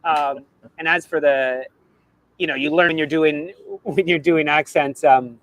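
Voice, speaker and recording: An American man from the South chatting casually as co-host of a football fan show, animated and quick to laugh. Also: a little high, dry recording, rough recording. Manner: animated, laughs often, slips into put-on accents. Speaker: podcast co-host.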